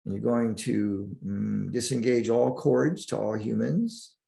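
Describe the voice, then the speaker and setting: A man guiding a sleep meditation in a soothing, deliberate manner, leaving long pauses between short phrases. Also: lowish voice, gravelly, warm tone. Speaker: meditation guide.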